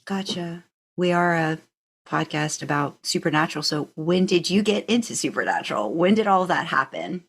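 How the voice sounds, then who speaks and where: Woman podcast host with very animated pitch. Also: moderate pace, gravelly, husky. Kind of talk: casual conversational questioning.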